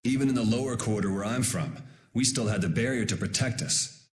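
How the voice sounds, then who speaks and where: A man's voice: mid-range voice, a little fast, lightly acted. An actor playing a cool, sardonic young swordsman in a video game, talking in a dry, casual way. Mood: cool, thoughtful. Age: young adult. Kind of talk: dramatic video game voice acting. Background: background music.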